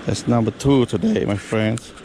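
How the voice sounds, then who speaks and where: A man giving excited running commentary while he fishes from a riverbank, talking to himself and repeating words. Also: fairly low pitch, moderate pace. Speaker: fishing vlogger. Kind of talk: live commentary while fishing. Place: outdoors on a riverbank. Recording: outdoor recording with steady background noise.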